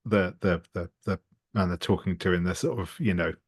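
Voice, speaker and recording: A man's voice: low-pitched, moderate pace, breathy edge. A man playing a tabletop roleplaying game, describing actions hesitantly and repeating words as he thinks.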